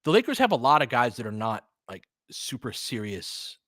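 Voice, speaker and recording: A man's voice: medium pitch, very animated, normal speed, a bit bright, dry recording, studio quality. A middle-aged man doing casual live basketball play-by-play, conversational and opinionated, chatting to listeners and reacting aloud to the action. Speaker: online basketball play-by-play host. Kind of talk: casual live play-by-play commentary mixed with chat.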